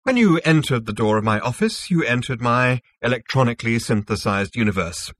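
A man's voice: mid-range voice, expressive, fairly quick, a bit bright, dry recording. Man playing a smug, businesslike schemer. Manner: businesslike, smug, hesitating with small uhs.

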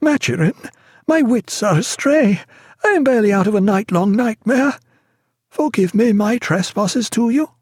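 A man voicing a terrified, feverish sick gentleman who pleads in distress. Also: high-pitched, lively intonation, slow pace, few pauses, slightly rough, no room sound, clean studio sound, voice pushed up, full character voice.

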